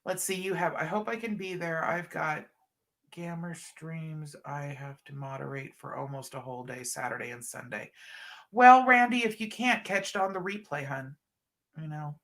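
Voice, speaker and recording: A woman's voice: low voice, moderate pace, fairly bright tone. A middle-aged woman hosting a casual livestream, stuffed up and a bit scratchy from allergies, chatting affectionately with viewers. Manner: affectionate, chatty, calls viewers hun and honey. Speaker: livestream host. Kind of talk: casual livestream chat reading comments.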